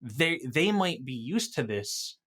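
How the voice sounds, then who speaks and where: A man in a casual gaming discussion, talking as an experienced dungeon master in an animated, opinionated way. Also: mid-range voice, slow pace.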